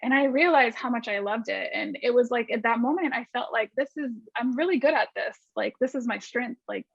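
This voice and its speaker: A woman from Argentina, a guest designer, talks in a chatty run with lots of restarts and fillers. Her voice sits fairly high. The video call sound is dull and dry, with no room around it. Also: a little fast, creaky, breathy edge, no echo.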